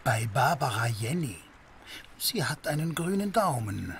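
An actor playing a probing police detective in a crime radio drama, intense, brooding and terse. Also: male voice, medium pitch, very animated, measured pace, vocal fry, slight background noise. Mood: explaining, brooding. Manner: terse, interrogating.

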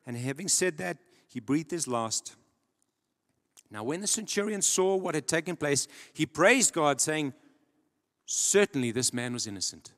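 Young male preacher, handheld mic, slightly high voice, dramatic swings from loud to hushed, long hall reverb. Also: expressive, a little slow. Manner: dramatic, builds to loud emphatic peaks then drops low. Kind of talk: sermon. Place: large church stage.

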